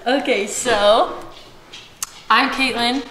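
Chatty bright-voiced young woman vlogger. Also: a little high, fairly even intonation, drawn-out words, slight background noise. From Washington state, USA. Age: late 20s. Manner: chatty storyteller, giggly, lots of "like" and "um". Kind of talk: casual chat. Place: bright home kitchen, indoors. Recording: echoey room.

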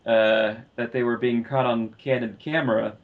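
A man co-hosting a casual discussion, speaking haltingly with many pauses and repeated phrases. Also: mid-range voice, fairly even intonation, narrow, tinny audio. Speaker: podcast co-host. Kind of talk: casual film and game discussion.

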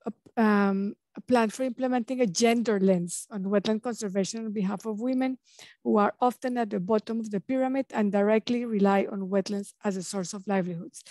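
A woman in her forties moderating an online panel over a video call, polite and hurried with many fillers. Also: on the high side, a little mellow, no room sound. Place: office on a video call, indoors. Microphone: webcam mic on a video call.

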